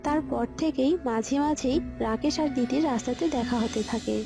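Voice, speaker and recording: Very high-pitched woman story narrator. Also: fairly quick, gravelly. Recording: loud background music. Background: music.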